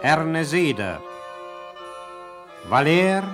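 A man's voice: fairly high pitch, very animated, extremely slow. A man with standard German speech reading formal scripted cast credits as a radio announcer. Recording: announcement over prominent music.

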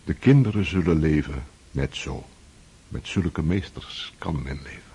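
An actor as a deep-voiced loyal old servant. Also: male voice, lively intonation, mellow, slightly rough, full character voice. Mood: deferential. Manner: respectful, slow, humble. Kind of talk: radio drama acting.

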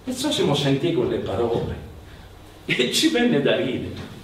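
A man's voice: mid-range voice, huge pitch swings, unhurried. A man on stage playing an eager Sicilian conspirator and storyteller, with a Sicilian accent. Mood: amused storytelling. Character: eager conspirator preparing a popular coronation. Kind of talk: theatrical narration in Sicilian-flavoured Italian. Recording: very echoey hall, distant mic, faint noise.

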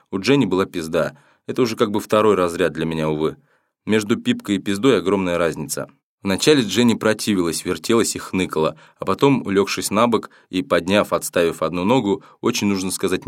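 Deep-voiced man reading a first-person novel. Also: no room sound, studio quality. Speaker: audiobook narrator.

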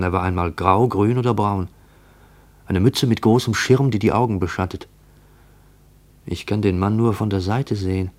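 A man playing an uneasy, watchful narrator in a radio play, introspective, questioning and deliberate. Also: low-pitched. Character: uneasy, watchful male narrator shadowing a stranger. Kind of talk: German radio play monologue.

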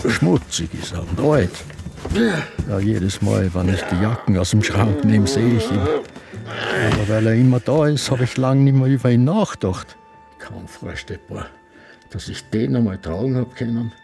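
A gravelly old Viennese man. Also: fairly low pitch, lively intonation, a little mellow, soft background music. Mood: brooding memory. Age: older. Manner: halting, musing, confessional. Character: elderly man in a sanatorium, brooding, remembering. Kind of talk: radio play acting with inner monologue.

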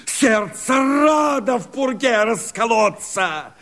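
An actor playing a desperate, bitter escaped convict and rebel, declaiming verse in a hoarse, strained, theatrical way for a radio drama. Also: male voice, extremely high pitch, animated, fairly bright tone, exaggerated voice. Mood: frenzied defiance. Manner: impassioned, theatrical, drawn-out declamation with sudden outcries.

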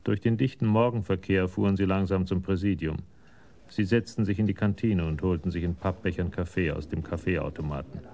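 Deep, gravelly male narrator. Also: a little fast, warm tone. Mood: sober, detached. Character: sober, detached storyteller of a crime tale. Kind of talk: scripted narration of a radio crime drama.

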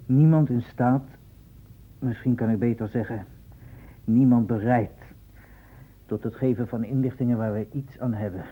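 A man playing a dutiful junior colleague to a senior doctor in a Dutch radio play, dry, businesslike and husky. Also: medium pitch, moderate pace, pauses often, close to an everyday voice. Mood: businesslike, deferential. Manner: businesslike, deferential. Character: dutiful, dry male doctor assisting his superior. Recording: thin phone-like old radio recording.

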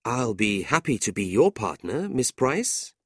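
A narrator as an earnest, troubled young gentleman. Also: mid-range voice, big pitch swings, a little slow, husky, no echo, clean studio sound. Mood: polite.

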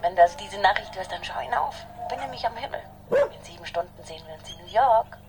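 Eager, lovesick girlfriend actress over loud music. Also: mid-range voice, lively intonation, quick pace, natural voice. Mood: hopeful, tender. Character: eager, lovesick girlfriend Christy calling from airport. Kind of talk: radio play phone monologue.

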